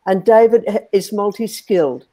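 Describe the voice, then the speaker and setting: Australian co-host in her sixties, hesitant, warm dark tone, video call. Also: medium pitch, moderate pace. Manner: hesitant, searching for words. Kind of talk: hosting a video interview. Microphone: earbuds on a video call.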